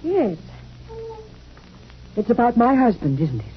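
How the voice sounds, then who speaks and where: Gentle android wife, muffled old radio. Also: female voice, a little high, very animated, unhurried, slight background noise. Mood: quiet grief. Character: gentle lifelike android wife, quiet, sorrowful and devoted. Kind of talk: 1950s radio drama acting.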